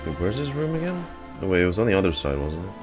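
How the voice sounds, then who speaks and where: A man's voice: deep voice, very animated, quick pace, over music. A man in his twenties giving casual live reaction commentary as he streams a game. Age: 20s.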